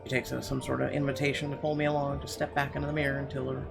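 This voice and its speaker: Male let's-play host narrating a story game: mid-pitched, gravelly fry, music underneath. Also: monotone, very fast. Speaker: gaming video host. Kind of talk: reading game narration aloud with commentary.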